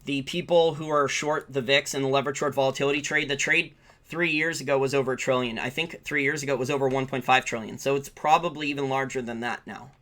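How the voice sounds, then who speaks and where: A man's voice: mid-range voice, fairly even intonation, quick pace, a bit bright. An American man giving informal, opinionated, off-the-cuff financial market commentary as a channel host, repeating points for emphasis. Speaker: finance channel host answering live viewer questions.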